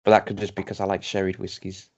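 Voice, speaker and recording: A man with a Yorkshire accent co-hosting a casual whisky tasting podcast. He reads tasting notes slowly and teases his friend. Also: deep voice, completely flat delivery, soft background music. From Yorkshire, England. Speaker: podcast co-host. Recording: dull lo-fi home podcast audio.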